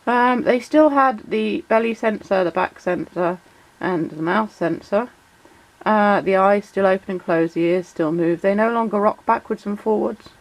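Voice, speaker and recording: Croaky woman with a cold. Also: mid-range voice, poor audio. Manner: chatty, many fillers, reads out shout-outs. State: croaky voice from a cold, sore throat. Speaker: toy collector vlogger. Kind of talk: casual explanatory vlog.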